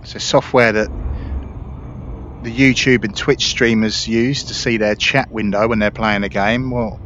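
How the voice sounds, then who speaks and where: A man hosting a gaming video with casual, relaxed, explanatory commentary. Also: on the low side, unhurried. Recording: slightly dull audio, faint game noise.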